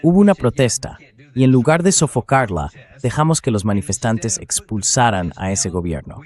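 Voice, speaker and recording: A man's synthetic voice-over reading translated political commentary in a measured, explanatory way, as an interview guest. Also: medium pitch, expressive, darkish tone.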